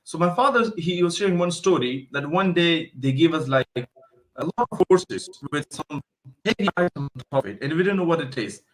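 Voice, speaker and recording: A man's voice: on the high side, animated, normal speed, low-quality recording. A man from Afghanistan with an Afghan accent, speaking as an expert guest in a conversational interview, explaining and telling stories with emphatic repetition.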